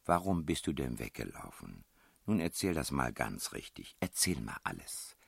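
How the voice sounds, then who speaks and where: A man voicing a self-assured, practical young woman in an audiobook story, brisk and gently insistent. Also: very deep voice, sing-song, gravelly, breathy edge, no echo, heavily acted. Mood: gently probing.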